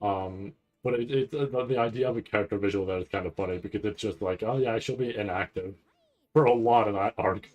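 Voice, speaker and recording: A man giving casual live reaction commentary, nervous and rambling, halting and repeating his words as he goes. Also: lowish voice, a little mellow, dull audio. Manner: anxious, nervous anticipation. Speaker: anime commentator reacting to a livestream event.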